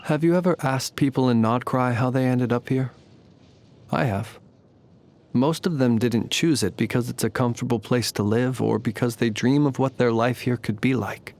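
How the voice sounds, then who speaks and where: A courtly fae lantern keeper of the night , acted by a man, speaks in a medium-pitched male voice. He is calm, wry and very formal, shaping eloquent lines with measured, theatrical care, in the manner of a video game character. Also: lightly acted. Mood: reflective.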